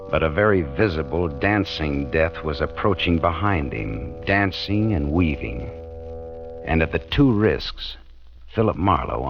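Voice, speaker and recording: An extremely deep-voiced man narrates radio suspense in a dramatic, measured manner. Brassy music plays clearly behind him on a slightly muffled vintage recording. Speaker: narrator of a mystery teaser. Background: loud brassy music.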